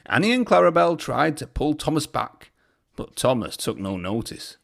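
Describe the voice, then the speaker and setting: Animated, higher-voiced man reading a children's story. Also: normal speed, creaky. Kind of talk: animated children's read-aloud narration.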